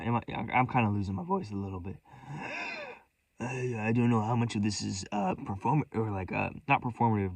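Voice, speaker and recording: A man's voice: lowish voice, darkish tone, no room sound. A man telling a rambling story in a vlog, profane and scattered, restarting sentences and commenting on his own spiraling thoughts. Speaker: vlogger.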